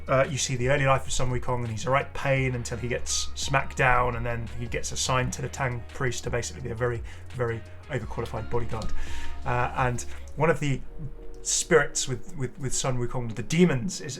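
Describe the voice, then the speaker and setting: Male gaming creator, mid-pitched voice, casual commentary, effusive, rambling, music playing behind. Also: fairly bright tone. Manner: enthusiastic, effusive. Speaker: gaming video creator and host. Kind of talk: casual game showcase commentary. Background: clear background music.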